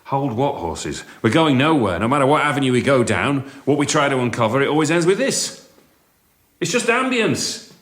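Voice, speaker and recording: An actor playing a weary, fed-up sound engineer in a radio drama, with a blunt, dry delivery. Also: male voice, mid-range voice, big pitch swings, moderate pace, a bit bright. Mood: exasperated.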